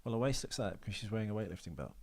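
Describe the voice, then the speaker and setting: Man, low voice, sarcastic, rambling, casual podcast co-host. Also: animated, very fast. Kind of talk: casual film chat.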